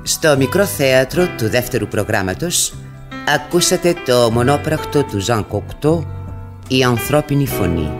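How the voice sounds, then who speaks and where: A man's voice: medium pitch, animated. A man working as a radio announcer, reading scripted credits in a formal style. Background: guitar music.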